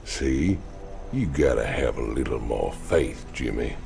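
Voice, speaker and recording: A swaggering American ex-convict outlaw drawls slowly in an extremely deep, gravel-filled voice. He is folksy and needling, a big cocky brute in a game cinematic, with music underneath. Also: lively intonation, exaggerated voice. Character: huge gruff cocky ex-convict outlaw, a boastful old partner. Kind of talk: video game cinematic acting.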